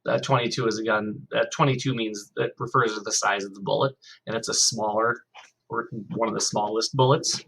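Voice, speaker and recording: A man's voice: lowish voice, steady tone, brisk, vocal fry, fairly bright tone, breathy edge, low-quality recording. A male teacher reading a novel aloud to his class, reading expressively and stopping to ask the students questions.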